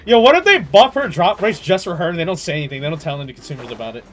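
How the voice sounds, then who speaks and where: A young man chatting casually on a game livestream in an animated, slangy way, swearing freely. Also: a little high, racing, soft background music. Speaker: game streamer.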